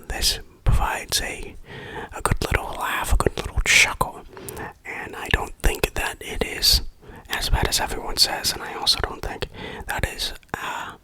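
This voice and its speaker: Breathy unvoiced whisper, bright and crisp edge, ASMR game reviewer, deliberate, tongue-in-cheek delivery. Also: rough recording.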